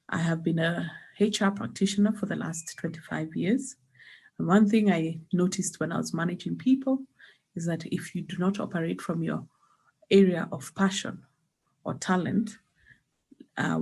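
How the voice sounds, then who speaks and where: Middle-aged woman from Kenya, gravelly and husky, lowish, earnest. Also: darkish tone. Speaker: company founder.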